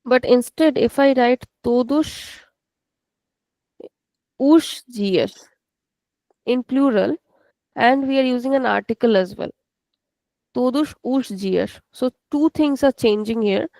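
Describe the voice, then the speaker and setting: A woman's voice: on the high side, slow, long pauses, warm tone, no echo, rough recording. A woman with an Indian English accent teaching an online language class, explaining patiently and repeating each point step by step.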